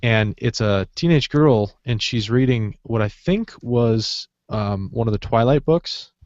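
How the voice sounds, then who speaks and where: A man's voice: medium pitch, a little slow, a little mellow, no room sound. A man hosting a podcast in casual, informal chat, recorded on slightly dull audio. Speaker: podcast host.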